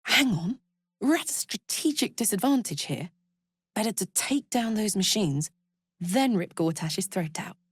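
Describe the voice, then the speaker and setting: A woman's voice: medium pitch, lively intonation, unhurried, slightly rough, no room sound, clean studio sound. A woman voicing a brash, big-hearted, battle-hardened warrior woman in a video game, blunt and slangy. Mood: grim, tough. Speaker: video game character voice actor. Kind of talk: video game character voice lines.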